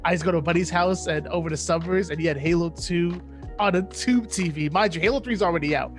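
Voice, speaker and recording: Male interview guest, 30, New Jersey accent. Distinctly high voice, playful and informal, circling back over his phrases. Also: fast talker, soft background music. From New Jersey, United States. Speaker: interview guest, esports caster and content creator. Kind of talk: casual interview chat.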